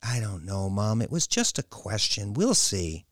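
A man voicing an excitable, defensive young woman with an eager, hesitant manner in an audiobook story. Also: fairly low pitch, a little slow, no room sound.

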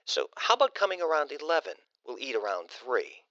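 A man's voice: fairly high pitch, sing-song, brisk, bright, forceful tone, no room sound, lo-fi sound, pitched above the performer's own voice. A man voicing a sarcastic, controlling middle-aged mother with a dry, pointed manner in an audiobook story.

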